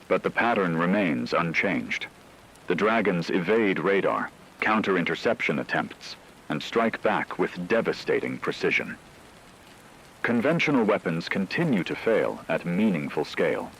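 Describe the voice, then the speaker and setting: A man acting as a radio news anchor, delivering scripted breaking news in a grave, formal, measured manner. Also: low-pitched, fairly bright tone, a little hiss or hum, low-quality recording. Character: grave male radio newsman reporting an apocalypse.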